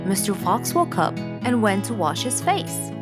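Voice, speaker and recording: A woman's voice: mid-range voice, big pitch swings, a little slow. A woman reading scripted narration for a children's cartoon story. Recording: music behind the voice.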